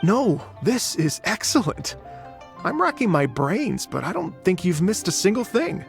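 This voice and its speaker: An actor voicing a gentle, earnest middle-aged male musician in a video game, polite and humble. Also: high-pitched, very animated, a little slow, creaky, soft background music, full character voice.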